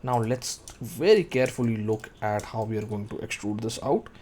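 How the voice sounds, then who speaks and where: A man's voice: on the low side, animated, normal speed, darkish tone, a little hiss or hum. A man in his thirties teaching 3D modeling, thinking aloud as he works and calling out keyboard shortcuts. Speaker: 3D modeling tutor. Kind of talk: screen-recorded software tutorial.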